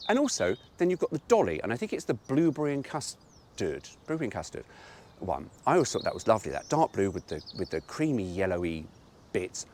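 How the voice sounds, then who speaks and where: A man's voice: medium pitch, darkish tone. A British man hosting a casual storytime, reading aloud from an old brochure and breaking off for wry asides and little laughs. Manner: chatty, wry asides, laughs at own jokes. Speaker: host reading a car brochure.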